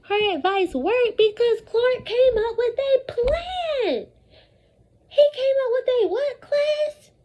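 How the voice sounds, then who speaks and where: A woman with a high, mellow voice leads a read-aloud lesson as an elementary teacher. She takes her time, stressing key words and repeating them for young pupils in an animated call-and-response manner. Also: highs cut off. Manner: animated, repetitive call-and-response with deliberate emphasis and questions to pupils.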